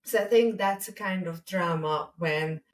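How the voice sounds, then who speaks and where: A woman with a Hungarian accent in a reflective conversational discussion as a podcast co-host, speaking deliberately with pauses mid-sentence. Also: lowish voice, recorded from a distance. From Hungary, a small village.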